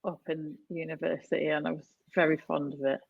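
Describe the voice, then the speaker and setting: A woman's voice: low-pitched, level tone, brisk, creaky, dry recording, narrow, tinny audio. A woman from North West England chatting casually as a guest on a podcast. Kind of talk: casual interview chat.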